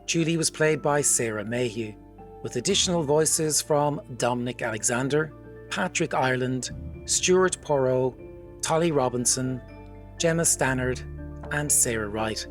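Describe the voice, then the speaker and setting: A male announcer reading the credits of a radio drama in a measured, formal way. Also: mid-range voice, soft background music.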